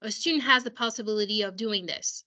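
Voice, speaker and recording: Counselor lady losing her voice on a video call, clear bright tone, keeps restarting sentences. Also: mid-range voice, fast talker. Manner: explanatory, with frequent restarts. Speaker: school counselor. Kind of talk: explaining a software walkthrough to parents.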